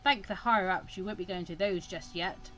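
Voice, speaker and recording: A woman's voice: mid-range voice, a little fast, fairly bright tone, natural voice, rough recording. Senior male mentor played by a woman, visual novel dialogue, dry, instructive, matter-of-fact. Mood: dry, stern.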